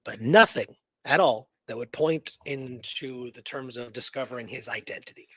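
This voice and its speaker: A man's voice: medium pitch, moderate pace, fairly bright tone, breathy edge, no room sound. A man co-hosting a history talk show, reading details out with emphasis and adding casual conversational asides. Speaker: co-host presenting a true story. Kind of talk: conversational radio show narration, reading notes aloud with commentary.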